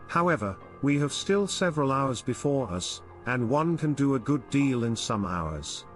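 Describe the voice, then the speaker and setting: A man voicing a calm, loyal young manservant who speaks with formal, deferential politeness in an audiobook. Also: mid-range voice, normal speed, faint music behind, close to an everyday voice.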